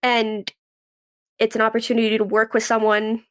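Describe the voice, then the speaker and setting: A young woman with a fairly high voice speaks as a guest in an interview. She talks casually and conversationally, telling her story with plenty of "like" and little quotes of what she said or thought. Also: monotone, moderate pace, fairly bright tone. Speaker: podcast guest, actress and writer.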